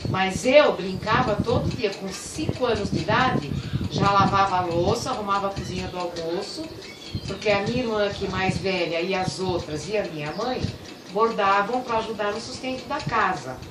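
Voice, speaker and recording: A woman's voice: medium pitch, normal speed. Older woman fondly recalling, echoey and distant. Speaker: interviewee. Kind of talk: storytelling about childhood. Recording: echoey room, distant mic, loud noise.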